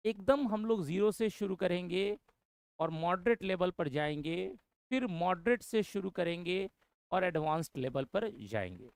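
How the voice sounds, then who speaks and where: A man's voice: high voice, animated, unhurried, darkish tone, clear, resonant tone, no room sound. Man teaching, dry close sound. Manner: repeats points, addresses students as brother. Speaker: English teacher. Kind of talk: course introduction lecture.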